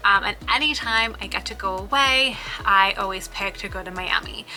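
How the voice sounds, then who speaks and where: A woman's voice: mid-range voice, normal speed, bright, forceful tone, faint music behind, rough recording. A woman in her thirties whose first language is Spanish, talking about her own life in a casual vlog, chatty, with lots of "um" and "like". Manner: chatty, with frequent ums and likes. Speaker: business owner. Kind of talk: casual personal vlog talk.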